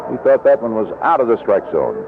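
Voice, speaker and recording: Animated baseball announcer, man, on noisy old radio. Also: medium pitch, fairly quick. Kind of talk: live radio baseball commentary, 1970s. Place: outdoor ballpark broadcast booth. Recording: lo-fi vintage AM radio broadcast, heavy noise. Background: loud stadium noise.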